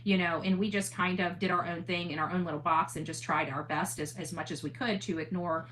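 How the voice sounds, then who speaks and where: A woman's voice: fairly low pitch, steady tone, a little fast, fairly bright tone, low-quality recording. Emphatic woman streamer over background noise. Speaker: online channel host. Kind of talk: livestream talk, casual monologue with replies to chat.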